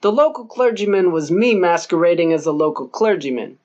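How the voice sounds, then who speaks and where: A man's voice: high voice, animated, a little fast, a little mellow, highs cut off. A man narrating a novel audiobook in a dry, wry storytelling manner.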